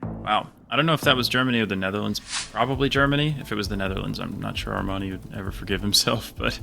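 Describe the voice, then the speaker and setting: A man's voice: lowish voice, fast talker, vocal fry, sharp tone, faint music behind. A man co-commentating a live game match, analyzing plays and thinking aloud about his guesses. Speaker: esports co-commentator.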